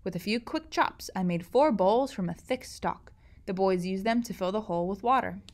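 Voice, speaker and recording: A woman teacher reading a children's story aloud, expressive storybook reading. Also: medium pitch, moderate pace.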